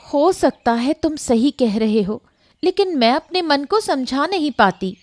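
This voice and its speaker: A woman playing a gentle, dutiful young wife in an acted story, speaking softly and deferentially to her husband. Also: high-pitched, expressive, a little slow, dry recording. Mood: hesitant, apologetic. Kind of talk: acted Hindi audio story dialogue.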